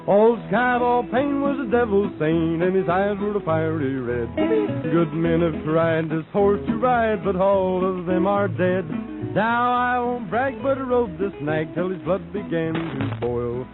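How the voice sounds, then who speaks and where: A man's voice: sits high, expressive, a little slow. An American man performing a western novelty song as a singer in a group, on vintage AM radio. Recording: tinny old AM radio, loud guitar behind.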